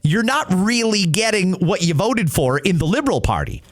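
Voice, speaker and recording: Male podcast host, high voice. Opinionated, emphatic, conversational commentary on politics. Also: big pitch swings, moderate pace. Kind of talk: political commentary, answering listener messages.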